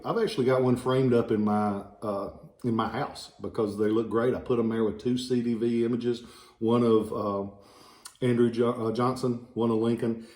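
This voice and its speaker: A man with a light Southern accent talks casually about old ballots, drifting into folksy asides and jokes. His voice sits at an ordinary middle pitch. The recording is roomy, with a clear echo off the walls. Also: darkish tone. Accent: slight Southern American. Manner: rambling, folksy, joking asides. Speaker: relic dealer showing ballots. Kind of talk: casual informational video. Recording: echoey room.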